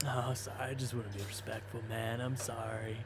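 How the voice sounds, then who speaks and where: A medium-pitched male voice, casual and apologetic, with clear background noise. An actor plays a sheepish warehouse worker in an audio drama, stammering and wheedling. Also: level tone, brisk, lightly acted.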